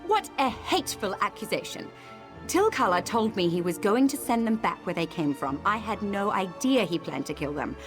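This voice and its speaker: A woman's voice: medium pitch, animated, normal speed, over music, lightly acted. An actress playing a proud, haughty noblewoman who speaks with scorn, in a video game. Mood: bitter.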